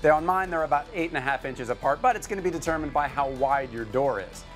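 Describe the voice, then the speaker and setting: A man's voice: on the high side, fast, a bit bright, faint music behind. Easygoing man explaining a DIY build. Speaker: guest host demonstrating a DIY project. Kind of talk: casual TV how-to chat. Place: TV studio living-room set.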